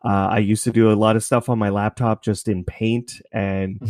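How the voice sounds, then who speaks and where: A man's voice: lowish voice, level tone, normal speed, darkish tone, dry recording, clean studio sound. A man talking casually as a podcast guest, explaining things in a rambling, self-correcting way. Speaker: podcast creator, interview guest. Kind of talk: casual interview chat.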